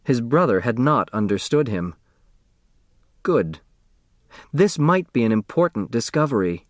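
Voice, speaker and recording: A man's voice: mid-range voice, big pitch swings, measured pace, no room sound, studio quality. A man reading a novel aloud as an audiobook narrator, in scripted literary narration.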